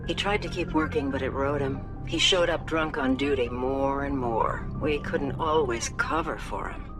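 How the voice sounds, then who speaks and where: An actress playing a brisk, dutiful middle-aged female naval officer who is also a caring mother, in a video game. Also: very deep voice. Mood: somber. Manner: brisk, dutiful, quietly concerned. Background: game music.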